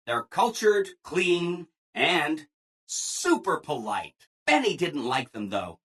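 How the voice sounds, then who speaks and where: Game robot assistant: ingratiating, relentlessly upbeat, lively swooping pitch, slightly husky edge. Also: male voice, slow pace, full character voice. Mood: upbeat, explanatory. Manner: chipper, ingratiating, over-enthusiastic, singsong. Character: relentlessly cheerful, eager-to-please robot assistant. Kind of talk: video game character dialogue.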